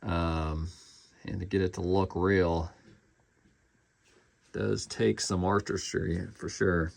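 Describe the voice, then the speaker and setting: Low-pitched, gravelly man reviewing casually. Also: level tone, takes it slowly, darkish tone. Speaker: collector reviewing a collectible bust.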